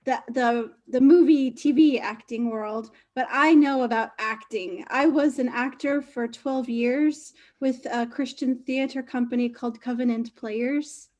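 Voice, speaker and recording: A woman's voice: fairly high pitch, steady tone, a little slow, hardly any pauses, a little mellow, muffled recording. A woman hosting an interview, chatting casually and with enthusiasm about her own years on stage. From English-speaking. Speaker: host and interviewer.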